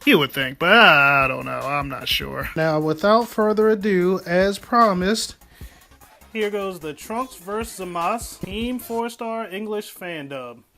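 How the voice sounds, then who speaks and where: A man giving an excited, casual review as a video commentator. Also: sits high, unhurried. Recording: music behind the voice. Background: background music.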